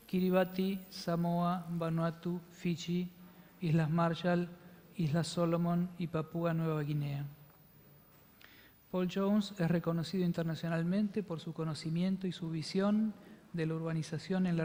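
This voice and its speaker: Male moderator, slightly high voice, formal read-out introduction, long hall reverb. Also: darkish tone. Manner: reads a formal biography, with some hesitations. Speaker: conference moderator.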